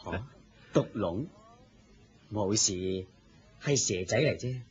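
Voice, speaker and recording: An actor playing a serene, kindly holy teacher in a Cantonese radio drama, calm and reassuring, with a Hong Kong accent. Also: male voice, on the low side, takes it slowly. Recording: dull, noisy radio drama recording.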